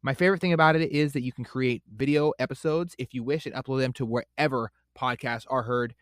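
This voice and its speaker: A man's voice: mid-range voice, steady tone, fast, dry recording, studio quality. A man hosting a podcast, reading an upbeat intro and ad before interviewing his guest with emphatic presenter energy. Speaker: podcast host.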